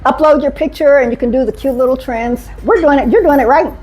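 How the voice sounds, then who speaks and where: A woman giving a live safety talk to a conference audience, energetic and engaging, often asking the room questions. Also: high-pitched, quick pace, soft background music, poor audio. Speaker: safety speaker.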